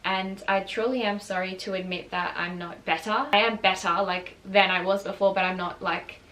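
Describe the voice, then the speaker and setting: A young woman speaking candidly to camera in a personal vlog, earnest and confessional, often pausing and restarting her sentences. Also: mid-range voice, fairly even intonation, a bit bright, poor audio. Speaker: vlogger and runner.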